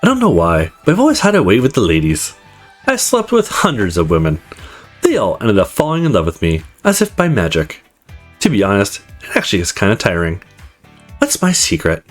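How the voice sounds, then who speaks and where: A man's voice: lowish voice, wildly swooping pitch, brisk. A man voicing a confident, upbeat male student who narrates his life in a visual novel, in a breezy, conversational way. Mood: breezy, boastful. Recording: music playing behind the voice.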